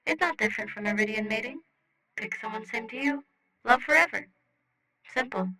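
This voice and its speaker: Casting: reader performing little rock alien for audio fiction. Bright, crisp-edged tone, clipped broken grammar, blunt but good-hearted. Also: mid-range voice, normal speed, voice pushed up, low-quality recording. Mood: frank, encouraging. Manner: speaks clipped broken English, announces questions by saying "question". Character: small alien rock creature with legs, cheerful blunt matchmaker. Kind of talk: scripted fiction dialogue, translator voice.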